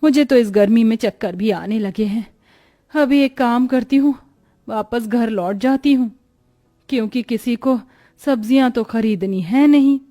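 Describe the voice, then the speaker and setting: A woman's voice: fairly high pitch, measured pace, dark tone, lightly acted. A woman voicing a poor, weary, devoted village mother in a cartoon story, speaking tenderly, earnestly and plaintively.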